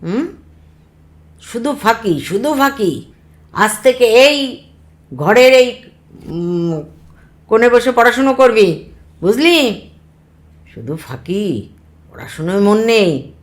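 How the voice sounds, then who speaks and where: A storybook uncle played by a woman storyteller, indulgent yet shrewd. He coaxes and probes at an unhurried pace, with mock approval and a scolding edge never far off. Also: deep voice, very animated, a little mellow. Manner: coaxing, probing, mock-approving.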